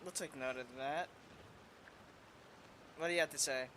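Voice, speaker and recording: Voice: medium pitch, steady tone, normal speed, background noise. A game streamer giving casual commentary and offhand reactions while playing. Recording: game music behind the voice.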